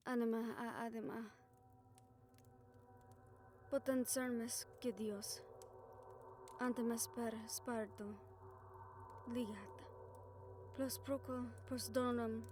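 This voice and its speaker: A woman playing a calm, reassuring witch who leads a ritual in an audio roleplay, steady and encouraging as she gives instructions. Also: fairly high pitch, a little hiss or hum. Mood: solemn incantation. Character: calm, reassuring female witch leading a soul-bond ritual.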